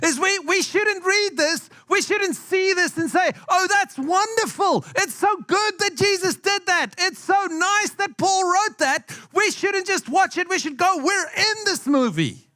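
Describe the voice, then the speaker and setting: A man's voice: very high voice, animated, unhurried, few pauses, sharp tone. A South African man with an accent that has picked up some American, preaching a conversational sermon to a congregation, asking them questions and repeating phrases for emphasis. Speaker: pastor. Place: church hall with a live congregation.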